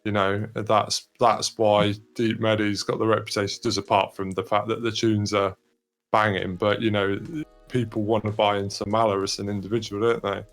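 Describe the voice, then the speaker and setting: A man's voice: fairly low pitch, level tone, normal speed, soft background music. A man explaining his view in casual chat. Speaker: talk show participant.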